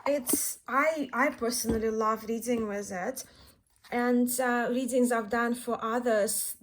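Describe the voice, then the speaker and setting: Slow-paced Eastern European woman, higher voice. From Belarus, born in Russia. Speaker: tarot deck reviewer, vlogger. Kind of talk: casual chat to viewers, show-and-tell.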